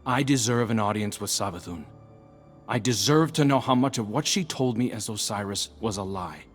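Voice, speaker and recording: A man's voice: lowish voice, animated, moderate pace, faint music behind, close to an everyday voice. An actor playing a resentful, defiant male guardian in a video game, bitter and pointed in his delivery. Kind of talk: video game dialogue acting.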